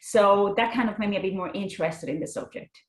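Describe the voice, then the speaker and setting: A woman's voice: medium pitch, fast talker, roomy sound. A young adult woman from Spain with a Spanish accent, a podcast guest chatting casually with frequent restarts. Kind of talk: casual interview chat.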